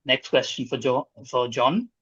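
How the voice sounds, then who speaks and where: A man's voice: medium pitch, expressive, measured pace, fairly bright tone, husky, dry recording. A man acting as quiz master, reading out questions and scoring answers over a dull-sounding online call.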